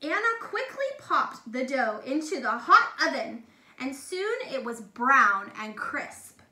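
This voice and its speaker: Female teacher for children's story narration: expressive, very bright, slow and deliberate, recorded in an echoey room. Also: sits high. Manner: expressive, emphatic, talks directly to young children. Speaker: teacher reading aloud to children. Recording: echoey room with faint noise.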